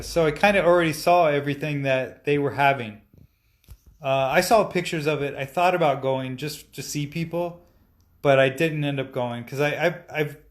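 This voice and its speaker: A man in his forties, a stop-motion animator, answering viewer questions in a relaxed, chatty way with frequent fillers and restarts. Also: fairly high pitch. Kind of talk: casual live-stream Q&A, reading viewer comments aloud.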